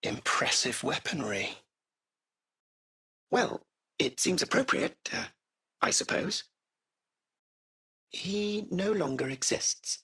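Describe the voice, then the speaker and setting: An artificial-intelligence car driver from a video game. The voice is rough and breathy with a gravelly creak, and it swings widely in pitch. The phrasing is formal and courteous, yet hesitant and anxious, taken at an unhurried pace. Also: male voice, sing-song, sharp tone, exaggerated voice. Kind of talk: acted video game dialogue.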